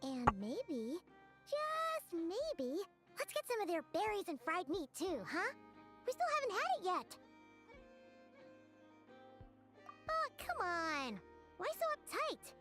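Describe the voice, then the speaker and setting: A woman's voice: very high voice, huge pitch swings, unhurried, full character voice. A woman voicing a small, perky fairy companion girl who talks about herself in the third person and schemes cheerfully. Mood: wheedling. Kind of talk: video game voice acting. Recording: faint game music behind.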